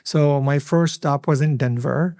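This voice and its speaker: Gravelly man in his fifties. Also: on the high side, darkish tone, no echo, clean studio sound. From Lebanon. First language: Arabic. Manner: earnest, reflective, many filler pauses. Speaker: hospital CEO, podcast guest. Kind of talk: interview storytelling.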